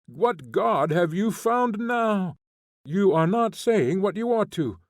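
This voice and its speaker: A man's voice: big pitch swings, takes it slowly, darkish tone, dry recording, clean studio sound. A male reader voicing a bitter young woman convict who is drunk, furious and mocking. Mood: scornful. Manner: scornful, mocking, shrill.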